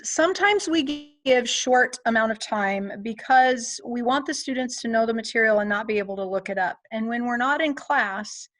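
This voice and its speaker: Higher-voiced woman instructor giving advice. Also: a bit bright, no echo. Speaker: college instructor. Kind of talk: conversational advice to students. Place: online video call.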